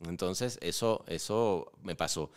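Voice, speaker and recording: A man in his forties from Venezuela with a Venezuelan Spanish accent, a guest chatting in an interview, relaxed, with many fillers and restarts. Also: deep voice, slow, clear, resonant tone. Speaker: podcast guest, filmmaker. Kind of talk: casual interview conversation.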